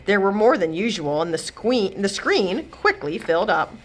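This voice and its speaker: A woman reading a children's chapter book aloud as a storyteller, with expressive delivery. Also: mid-range voice, moderate pace. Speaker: librarian host and storyteller. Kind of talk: children's read-aloud narration.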